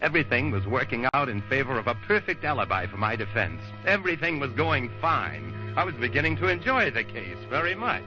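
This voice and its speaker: An actor playing a scheming, self-satisfied lawyer in an old radio crime drama, with wordy, theatrical, formal diction. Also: male voice, mid-range voice, sing-song, a little fast, few pauses, natural voice. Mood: smug, calculating. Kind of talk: 1940s radio noir acting with narration. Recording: muffled old radio recording, music behind.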